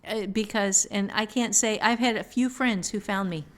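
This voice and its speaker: A woman's voice: medium pitch, normal speed. A woman hosting a casual podcast conversation about writing, speaking frankly with the odd mild swear. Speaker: podcast host and fiction writer.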